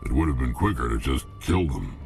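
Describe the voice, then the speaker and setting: Rumbling, gravelly alien mercenary warrior. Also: male voice, lively intonation, a little mellow, soft background music, exaggerated voice. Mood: cold, blunt. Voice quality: very deep, gravelly. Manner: blunt, unhurried threats. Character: male alien Krogan mercenary warrior, menacing, blunt, battle-hardened. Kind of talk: video game voice acting.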